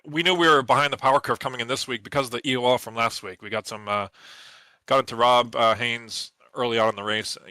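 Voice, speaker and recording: A man's voice: medium pitch, fairly even intonation, fast talker, pushed and bright, no echo. A man from New England, the race winner, talking confidently in a post-race interview with plenty of fillers. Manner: confident, competitive, lots of fillers.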